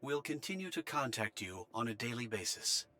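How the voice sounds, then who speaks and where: A man's voice: medium pitch, sing-song, normal speed, sharp tone, dry recording. A man voicing a formal, courteous official reporting to soldiers in an anime-style game. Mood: formal, grateful.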